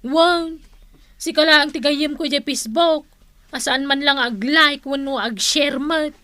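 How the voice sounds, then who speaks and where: A woman's voice: high voice, measured pace, pushed and bright, no room sound. An actress playing a bossy, vain woman in a radio comedy drama, insistent and nagging. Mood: pestering, sulky. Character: bossy, vain woman hooked on Facebook likes.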